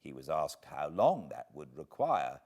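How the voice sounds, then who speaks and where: A man with a British accent reading a classic book aloud as an expressive audiobook narrator. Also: very deep voice, a little slow. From Britain.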